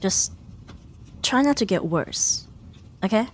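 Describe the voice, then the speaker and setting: A woman playing a sharp-tongued young female rival in an ASMR roleplay, huffy and sarcastic but grudgingly caring. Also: mid-range voice, animated, a little hiss or hum. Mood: grudgingly soft, dismissive. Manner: sarcastic, huffy, theatrical sighs and drawn-out jabs. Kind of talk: ASMR roleplay audio drama addressed to the listener.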